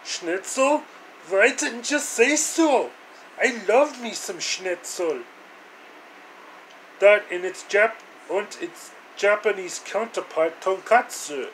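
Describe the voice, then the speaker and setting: Man playing a high-voiced, halting young adventurer. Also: full character voice, low-quality recording. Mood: eager. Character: food-loving, talkative young adventurer hiding out. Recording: steady air-conditioning noise.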